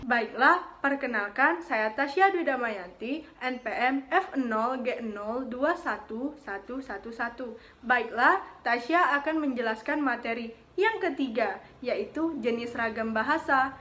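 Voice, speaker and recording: A young woman student reading a class presentation, steady but with frequent hesitant fillers. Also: on the high side, unhurried. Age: young adult.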